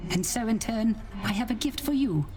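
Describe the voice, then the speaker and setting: A woman's voice: a little high, normal speed, lightly acted. A woman voicing a sweet, gracious, effusive friend in a video game, gushing and playfully formal. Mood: delighted, grateful.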